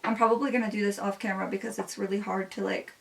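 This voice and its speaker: A woman's voice: mid-range voice, level tone, quick pace, creaky, distant mic. A woman in her twenties, a native Spanish speaker, chatting casually while doing her makeup, with many "like" fillers. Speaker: beauty vlogger.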